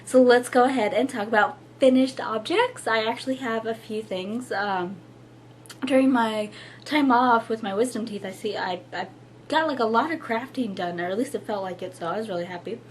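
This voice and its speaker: A hoarse young woman with a husky voice, chatting casually to camera as the host of a knitting show, with lots of fillers and little laughs. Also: fairly high pitch, moderate pace, slight background noise. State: hoarse, losing her voice.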